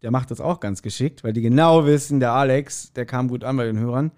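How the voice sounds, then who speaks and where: A man chatting casually as a podcast host, telling anecdotes in an animated way and acting out quoted remarks. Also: mid-range voice, a little fast. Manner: animated storytelling, laughs readily, acts out quoted remarks.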